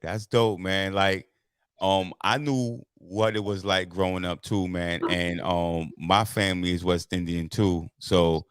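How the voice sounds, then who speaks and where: Laid-back American host with a dry sound. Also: male voice, sits low, fairly even intonation, measured pace, clean tone, dry recording. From United States. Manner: laid-back street talk with lots of backchannel agreement. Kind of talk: casual live interview.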